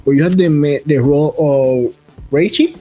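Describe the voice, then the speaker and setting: Excited host guy, trips over words, faint music in back. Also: on the high side, low-quality recording. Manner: excitable, quick, stumbling phrasing. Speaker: podcast host. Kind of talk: casual interview chat. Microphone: remote video call.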